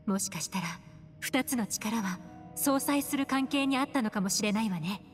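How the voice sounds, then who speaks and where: A woman's voice: a little high, natural voice. Actress as a cool woman adventurer in long reverb. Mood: composed, grave. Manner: poised, measured, feminine phrasing. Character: cool, composed, mysterious adult woman adventurer. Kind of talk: Japanese anime-style game voice acting. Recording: long reverb, music underneath.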